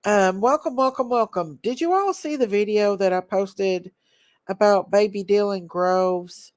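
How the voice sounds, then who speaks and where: A woman's voice: medium pitch, unhurried, no echo, clean studio sound. A woman, stuffed up with a cold, hosting a casual livestream chat and reading out viewer names. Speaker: livestream host.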